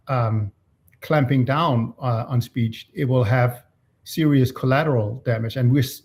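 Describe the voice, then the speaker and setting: Male, mid-pitched; author in interview, measured and argumentative, with halting delivery. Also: vocal fry. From Denmark. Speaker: author and free speech advocate being interviewed. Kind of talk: thoughtful interview answers.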